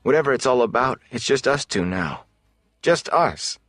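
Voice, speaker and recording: A man's voice: medium pitch, very animated, moderate pace, fairly bright tone, no room sound, clean studio sound, pitched above the performer's own voice. A man voicing a grumbling, anxious young traveller in a fantasy audiobook, with a muttering, complaining manner. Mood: anxious, subdued.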